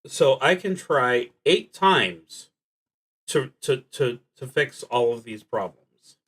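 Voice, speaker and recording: A man streaming a solo tabletop game, thinking aloud in a halting, deliberate way and often trailing off. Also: medium pitch, lively intonation, fairly bright tone, husky. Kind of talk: thinking aloud while playing a solo card and dice game.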